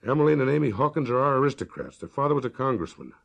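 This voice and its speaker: A man's voice: medium pitch, sing-song, racing, vocal fry. A man voicing an earnest, troubled, plain-spoken local farmer in a story reading. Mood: agitated, earnest.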